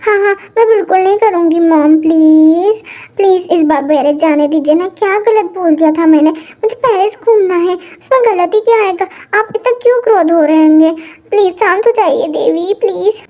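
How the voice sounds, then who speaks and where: Woman putting on a spoiled teen girl, super high and whiny, begging a lot, kinda mellow tone. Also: moderate pace, highs cut off. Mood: pleading. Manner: whiny wheedling pleading. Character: pampered teenage daughter, sweet and pleading.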